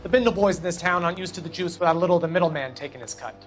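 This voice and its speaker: An actor playing a sardonic, menacing 1940s gangster boss with an American accent, needling in a dramatic video game cutscene. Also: male voice, high voice, very fast, soft background music, lightly acted. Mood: mocking, menacing.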